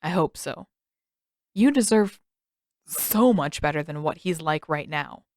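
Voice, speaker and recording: A woman voicing an awkward, earnest teenage boy in an audiobook, talking in a casual, halting, blunt way. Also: low voice, animated. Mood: sheepish, sincere. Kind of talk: audiobook character dialogue.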